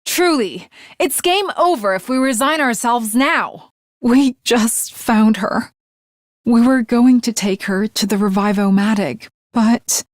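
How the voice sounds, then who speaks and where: A woman's voice: on the high side, takes it slowly, no room sound, clean studio sound. A woman voicing an earnest, lovestruck teenage girl swordswoman in an anime video game, with formal, archaic, dramatic diction. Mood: somber.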